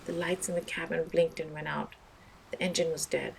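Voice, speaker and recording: Female storyteller for children's read-aloud narration; lower pitch, noticeable vocal fry, slight background noise. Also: little pitch movement, normal speed, poor audio.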